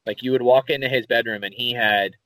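A man with a Philadelphia accent, co-hosting a podcast in casual chat, telling rambling, animated stories and swearing casually. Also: medium pitch, a little fast, no echo, low-quality recording. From Philadelphia area, USA. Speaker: podcast co-host.

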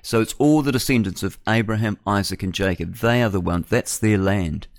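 A man's voice: fairly low pitch, a little fast, dry recording, studio quality. A man in his sixties with a New Zealand accent, delivering a rambling, conversational opinion monologue as a radio host. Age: 60s. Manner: rambling, digressive, conversational with rhetorical tag questions.

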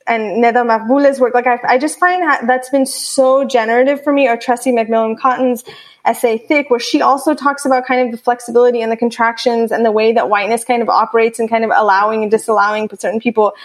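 Halting young woman, rather high voice. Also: steady tone. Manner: halting, many fillers and restarts. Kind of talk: casual discussion.

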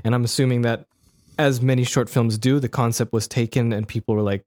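A man's voice: medium pitch, fairly even intonation, fairly quick, a little mellow. Joking, rambling man reviewing films. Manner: rambling, joking, self-correcting. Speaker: podcast co-host. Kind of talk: casual film review chat.

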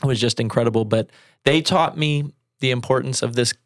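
Man in his thirties, church show co-host, casual storyteller, medium pitch, dry close sound. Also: moderate pace, dry recording.

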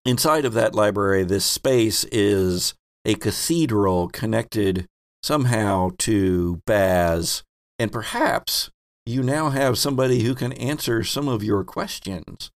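A man's voice: fairly low pitch, takes it slowly. A man hosting a tabletop game as game master in a casual, hesitant, playful way.

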